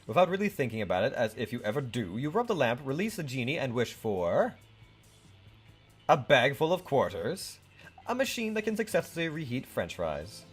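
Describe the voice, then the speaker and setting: A young man chats his way through a game with friends, his voice bouncing lively up and down. He rambles and sounds unsure of himself, and he reads the on-screen story text aloud. Faint music plays underneath. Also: medium pitch, normal speed, breathy edge. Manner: rambling, unsure, laughs a lot. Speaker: let's play host. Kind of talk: casual game commentary and reading game text aloud.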